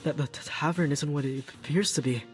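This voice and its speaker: An actor playing a nervous, eager young resistance member in a video game, stammering and earnest. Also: male voice, on the high side, a little fast, natural voice. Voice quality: stuttering. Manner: hesitant, earnest. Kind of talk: video game quest dialogue. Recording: background music under dialogue.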